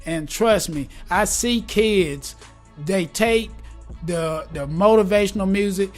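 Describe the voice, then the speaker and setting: A man in his forties, talking casually and earnestly in an interview, upbeat and a bit preachy, and quick to laugh. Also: sits high, soft background music. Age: 40s. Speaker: guest, gospel rap artist. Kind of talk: casual conversation.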